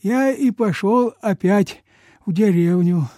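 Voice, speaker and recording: An actor playing a frail, humble old peasant beggar in a Soviet radio play, halting, deferential and folksy. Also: male voice, high voice, dark tone, no room sound, clean studio sound. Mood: weak, pleading. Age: older.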